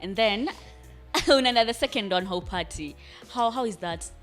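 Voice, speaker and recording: A woman's voice: medium pitch, lively intonation, moderate pace, fairly bright tone, faint music behind. A Kenyan woman hosting a radio interview, playful and laughing, slipping Swahili words into her questions. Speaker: radio host. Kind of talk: radio interview, casual chat.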